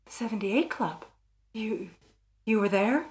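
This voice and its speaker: A woman's voice: fairly high pitch, expressive, takes it slowly, a little mellow. A woman playing a frightened, desperate wife in an old-time radio melodrama, urgent and hesitant, as if fearing for her life. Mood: shaken, subdued. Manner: breathless urgency, hesitant stammering.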